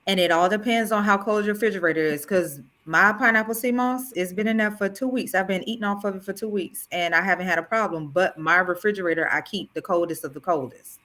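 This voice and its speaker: A woman's voice: mid-range voice, fast talker, hardly any pauses. A chatty woman from Florida giving sea moss advice on a group video call. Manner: chatty, lively, gives advice. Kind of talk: casual group chat on a call.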